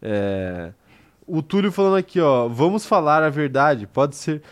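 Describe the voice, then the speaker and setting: Man, young adult, São Paulo interior accent, animated, high-ish voice. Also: unhurried, clear, resonant tone. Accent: Brazilian Portuguese, São Paulo interior. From interior of São Paulo state, Brazil. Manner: animated, bursts into laughter, slangy. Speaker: co-host reading live chat. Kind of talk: casual live-stream chat.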